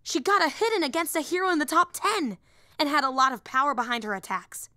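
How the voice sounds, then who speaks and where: A woman's voice: high-pitched, fast, bright, forceful tone, dry recording, studio quality. A woman voicing a young, nerdy female hero fan and teacher in an audio roleplay, eager, rambling and easily flustered. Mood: earnest, rambling.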